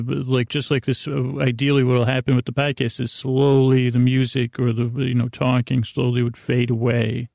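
Man, sleep podcast host, heavy vocal fry, gentle reassurance, halting digressive monologue, very dry room sound. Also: mid-range voice, no echo. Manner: halting, digressive, full of trailing asides and repeats. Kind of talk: rambling, meandering bedtime monologue.

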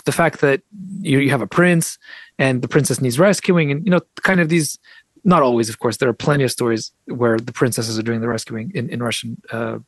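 A man's voice: medium pitch, no room sound. Articulate American man in a dry, close recording. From United States. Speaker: podcast guest, author and translator. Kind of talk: conversational interview, explanatory.

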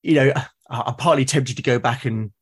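A man from Britain with a husky voice of middling pitch speaks as a podcast guest. He is reflective and tentative, casually working out his thoughts and doubling back on words. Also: moderate pace.

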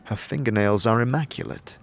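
A man narrating scripted second-person fantasy with deliberate, dramatic storytelling. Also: mid-range voice, slight background noise.

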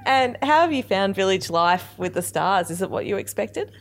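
A woman's voice: mid-range voice, expressive, moderate pace, a little hiss or hum. A woman interviewing a guest in a casual, encouraging way. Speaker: podcast interviewer.

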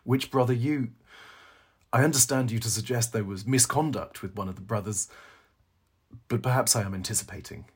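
A man voicing a courteous, fussy male Quaker librarian in a storybook, tentative and over-polite, with a husky voice. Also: medium pitch, normal speed, pauses often, voice pushed up.

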